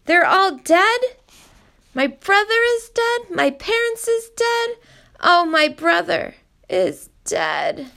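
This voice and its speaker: A woman's voice: very high-pitched, sing-song, slow, vocal fry, bright, forceful tone, voice pushed up, full character voice. A woman voicing a grief-stricken young boy who wails in anguish. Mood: anguished wailing. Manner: wailing, anguished.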